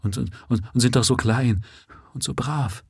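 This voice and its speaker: A man's voice: sits low, steady tone, a little fast, a little mellow, slightly rough, no echo, clean studio sound. A man voicing a gaunt, pale widowed father just out of prison, pleading in a trembling, urgent voice.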